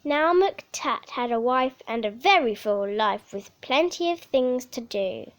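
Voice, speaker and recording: High-pitched woman reading a bedtime story. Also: expressive. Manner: theatrical, measured storytelling with dramatic emphasis. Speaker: story reader. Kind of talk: expressive bedtime picture-book reading.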